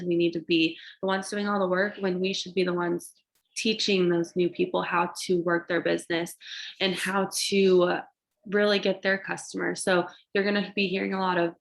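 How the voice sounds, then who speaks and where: A woman's voice: medium pitch, vocal fry. A young woman sounds congested and stuffy from allergies as she speaks over a video call. She rambles eagerly, keeps turning to her listeners with "you guys," and pushes through with upbeat motivational energy. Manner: excited, rambling, addresses "you guys" often. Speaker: direct sales team leader.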